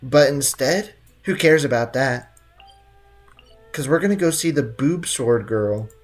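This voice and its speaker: Very low-voiced woman streamer, wry. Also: expressive, unhurried. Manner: sarcastic, wry, slangy. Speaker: game streamer. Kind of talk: casual gameplay commentary. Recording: faint game music behind.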